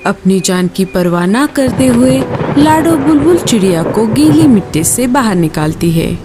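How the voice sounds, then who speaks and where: A woman narrating a children's cartoon story in an expressive, singsong way and speaking to young viewers. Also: fairly high pitch, measured pace, over music.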